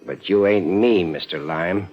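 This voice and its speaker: A man's voice: low-pitched, measured pace, soft background music. An actor playing a menacing, sneering tough gunman in a 1950s radio crime drama, slangy and threatening. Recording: muffled old radio recording.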